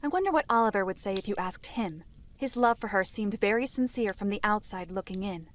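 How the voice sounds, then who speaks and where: A woman playing a composed seer who recounts events in a fantasy audio drama, formal and measured. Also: medium pitch, lively intonation, a little hiss or hum, lightly acted. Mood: grave, composed. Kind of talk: visual-novel audio drama storytelling.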